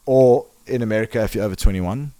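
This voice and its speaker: Chatty South African man, low voice. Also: fast, dark tone. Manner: chatty, swears casually, enthusiastic. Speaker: podcast host. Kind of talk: casual podcast chat.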